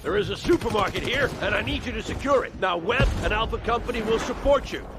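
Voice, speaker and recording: An actor playing a brusque American army colonel in a war game, giving terse, authoritative orders in a gravelly voice. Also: male voice, high-pitched, lively intonation, fast talker. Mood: stern, commanding. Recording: battlefield noise, gunfire and artillery.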